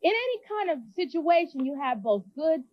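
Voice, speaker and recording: Woman, high-pitched, deliberate, assertive, audience opinion, slightly muffled recording. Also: very animated. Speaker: audience member. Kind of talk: opinion given in live debate.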